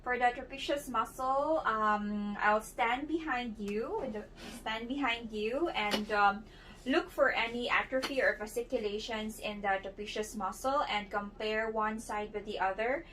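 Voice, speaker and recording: Higher-voiced woman explaining an exam. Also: unhurried. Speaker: student demonstrating a clinical exam. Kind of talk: clinical skills demonstration. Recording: distant mic with faint background noise.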